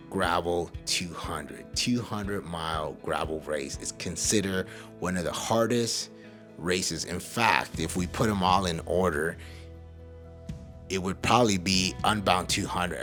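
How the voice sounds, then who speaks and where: A man's voice: low-pitched, fairly bright tone. A man from El Salvador hosting a podcast, speaking casually and motivationally in an earnest, emphatic way. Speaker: podcast host.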